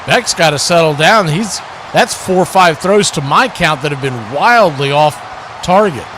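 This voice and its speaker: High-pitched man calling football play-by-play. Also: moderate pace, noisy background. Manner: clipped phrases, excited on big plays. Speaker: play-by-play commentator. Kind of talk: live football play-by-play commentary on a stream.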